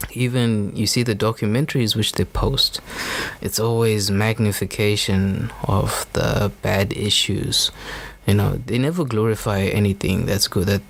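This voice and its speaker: Guy, young, kinda low gravelly voice, rambly podcast rant w lots of "you know". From Zimbabwe. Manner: earnest, rambling, many "you know" fillers. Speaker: podcast host. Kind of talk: unscripted opinion monologue.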